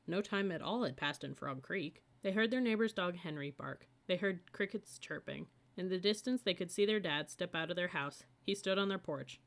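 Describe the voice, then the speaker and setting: A woman's voice: fairly low pitch, moderate pace, few pauses. A woman reading a children's audiobook as scripted story narration. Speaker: audiobook reader.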